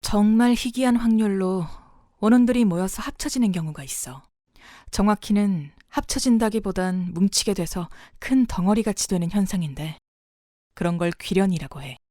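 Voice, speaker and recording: A woman's voice: mid-range voice, normal speed, mellow, dry recording, clean studio sound, lightly acted. A woman voicing a blunt, world-weary senior exorcist in a visual novel drama, explaining things in a casual, matter-of-fact way. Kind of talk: dramatic character dialogue, explanatory. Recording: noisy bed of rain and night insects.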